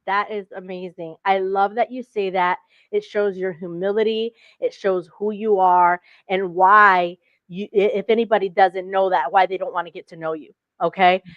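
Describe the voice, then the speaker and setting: A woman's voice: medium pitch, fairly even intonation, moderate pace, few pauses, no room sound. A woman interview host, born in Philadelphia and raised in Chicago, speaking over a video call. She is effusive and encouraging and keeps repeating her praise. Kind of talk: casual interview conversation. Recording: dull, dry lo-fi call audio.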